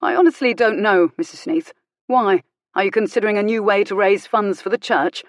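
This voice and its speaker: A woman voicing a mild-mannered country vicar in an audiobook romance, measured, pointed and drily ironic with quiet anger. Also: medium pitch, no room sound, clean studio sound, voice pushed up, lightly acted. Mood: controlled anger.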